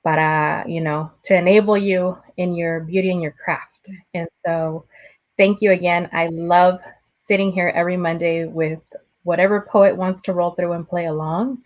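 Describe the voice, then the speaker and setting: Host, female, lower voice, affirming tone with Spanish sprinkled in, video call audio. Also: steady tone, normal speed, dull audio. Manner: mixes Spanish phrases into English; affirming, frequent "right?" tags. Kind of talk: casual conversation on a video call.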